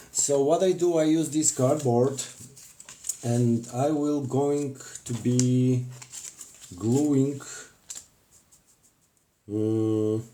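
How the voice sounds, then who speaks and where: A man with a Bulgarian accent hosts a casual live crafting demonstration, talking haltingly with many fillers and restarts. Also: mid-range voice, dark tone. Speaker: craft livestream host.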